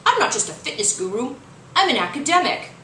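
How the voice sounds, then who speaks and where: A woman's voice: sits high, big pitch swings, bright, forceful tone, voice pushed up, full character voice. A woman voicing a smug, vain middle-aged male gym coach in a boastful storybook reading. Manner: boastful, drawn-out delivery. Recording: roomy, distant mic, faint noise.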